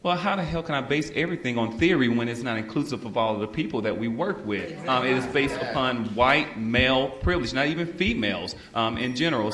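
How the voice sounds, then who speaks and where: A man from Montgomery, Alabama with a Southern accent, speaking on a panel in a measured way with many "um" and "uh" fillers. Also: mid-range voice, a bit bright, roomy sound. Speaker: panelist, works with students. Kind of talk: panel discussion. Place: large room with audience.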